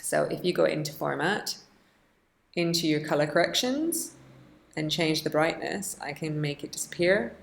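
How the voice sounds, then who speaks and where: A woman with a low voice and a noticeable creak talks through an on-screen tutorial. She sounds like a teacher showing colleagues the steps, chatty and self-deprecating, thinking aloud as she goes. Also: animated, moderate pace. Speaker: teacher giving a software tutorial. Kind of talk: informal instructional screen-recording walkthrough.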